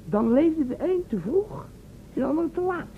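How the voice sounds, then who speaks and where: A man's voice: very high-pitched, lively intonation, normal speed. An actor playing a nervous, reluctant, naive helper in a radio play, hesitant and rambling as he protests. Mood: puzzled, musing. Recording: muffled, phone-like old radio recording, faint noise.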